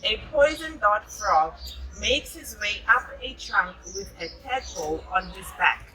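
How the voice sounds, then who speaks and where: A woman's voice: medium pitch, animated, measured pace, noisy background. A woman reading a picture book aloud to children, patient and encouraging, adding chatty asides and echoing what they say. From South Africa. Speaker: storybook reader.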